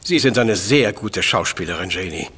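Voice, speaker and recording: An actor in a German audio drama playing a brilliant, self-assured professor detective with a precise, didactic, superior manner. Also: male voice, low voice, expressive, moderate pace, pushed and bright, slightly rough, heavily acted. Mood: triumphant, exposing.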